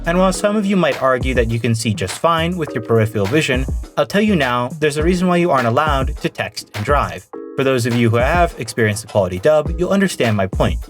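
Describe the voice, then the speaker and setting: A man's voice: medium pitch, expressive, fast. A man reading an earnest, argumentative scripted narration for a video essay. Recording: narration over music.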